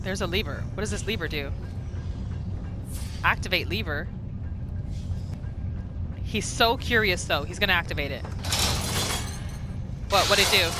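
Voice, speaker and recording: A woman hosting a gaming video with casual, excitable commentary, exclaiming and narrating what her character thinks. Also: lowish voice, a little slow, long pauses, over loud music.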